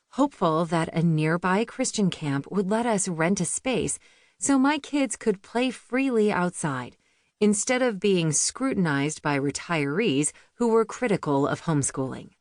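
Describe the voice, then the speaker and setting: A woman's voice: lowish voice, a little mellow, dry recording, clean studio sound. A woman reading a memoir audiobook as a scripted narration.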